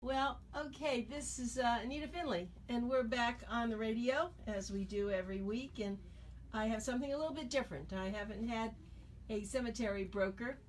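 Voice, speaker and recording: A woman's voice: a little high, a little fast. A woman hosting a radio talk show, asking questions in a chatty, conversational way. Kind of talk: radio interview. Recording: radio broadcast from a distance, faint noise.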